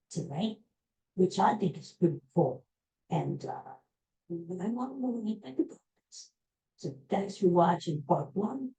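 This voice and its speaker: A woman's voice: low voice, dark tone, rough recording. A woman hosting a podcast on her own, giving rambling, folksy life advice with many restarts and calling her listeners folks. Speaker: podcast host. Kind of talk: casual solo podcast monologue.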